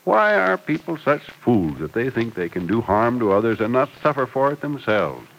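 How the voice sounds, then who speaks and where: A man's voice: mid-range voice, big pitch swings, normal speed, vocal fry, a bit bright. An actor playing a kindly, fatherly confidant in a 1940s radio soap opera, thoughtful and soothing in manner. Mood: musing, probing. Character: kindly, fatherly male confidant, calm and reassuring. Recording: noisy old radio broadcast recording.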